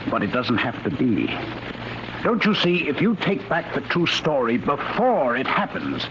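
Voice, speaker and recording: An actor in an old-time radio drama playing a grave, fatherly senior military man, measured, solemn and earnest. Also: medium pitch, very animated. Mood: urgent, hopeful. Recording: noisy, muffled vintage radio recording.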